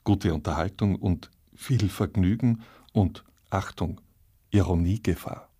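A man's voice: low-pitched, unhurried, warm tone, breathy edge, dry recording. A man presenting a radio play with a scripted introduction.

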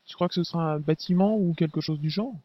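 A man's voice: fairly high pitch, fast, dull audio, lightly acted. A man voicing a young male resistance fighter who talks in loose slang with frequent fillers. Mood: casual. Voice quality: casual slang, hesitant fillers.

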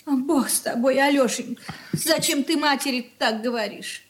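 High-voiced, plaintive mother played by an actress. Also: moderate pace, natural voice. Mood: plaintive worry. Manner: plaintive, gentle, folksy. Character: anxious, meek, pious, lamenting mother of grown son. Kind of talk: Russian radio drama acting.

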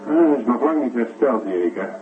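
A narrow, tinny radio recording with faint music behind presents a man playing a skeptical doctor. He speaks in an animated, reassuring way, humouring his patient in a science fiction radio play. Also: mid-range voice, brisk, close to an everyday voice. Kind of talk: Dutch science fiction radio drama acting. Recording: tinny, slightly distant old radio recording.

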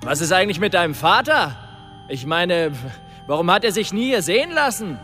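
An actor playing a brash, taunting convict on death row in a radio drama, jeering loudly in an echoing space. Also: male voice, sits high, big pitch swings, normal speed, faint music behind, exaggerated voice. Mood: needling. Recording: long echo of a prison corridor.

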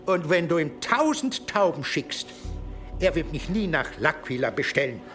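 An actor in a radio play playing a powerful medieval archbishop, accusing and mocking in a deliberate manner. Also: male voice, a little high, big pitch swings, fairly bright tone, soft background music. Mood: triumphant.

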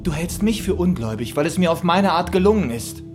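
A man's voice: a little high, lively intonation, fairly quick, close to an everyday voice. Brief: man as a rebellious young son in a radio play, music under him. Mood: defiant. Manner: defiant, earnest. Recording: music behind.

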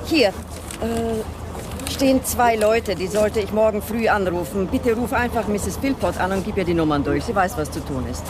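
Woman acting a cold businesslike wife in a radio play, brisk, matter of fact, kinda bitter. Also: medium pitch, animated, background noise, close to an everyday voice.

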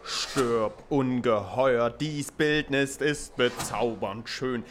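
A man's voice: medium pitch, extremely slow, slight background noise. A man playing a grandiose theatrical declaimer in a radio play, reciting in a solemn, stagey manner. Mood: grandly declaiming. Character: theatrical declaimer spouting lofty classical opera lines, grandiose.

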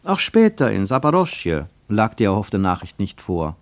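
An actor playing the sober narrator of a literary travel story, reading scripted narration in a German radio play. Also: male voice, fairly low pitch, big pitch swings, moderate pace, no room sound. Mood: sober, measured.

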